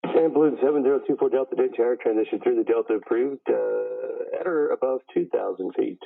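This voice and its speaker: A man's voice: mid-range voice, a little fast, vocal fry. A man working as a tower controller, giving routine procedural air traffic instructions over the radio. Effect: radio transmission. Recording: tinny, phone-like radio channel.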